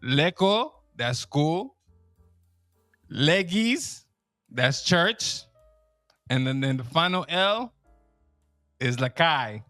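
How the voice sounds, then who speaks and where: A man's voice: a little high, big pitch swings, very slow, long pauses, sharp tone, dry recording, studio quality, faint music behind. A young man from Miami with a Miami accent, telling comic stories in a loose, slangy way, repeating himself and laughing at his own lines. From Miami, Florida. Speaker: comedian. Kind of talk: casual stand-up style storytelling.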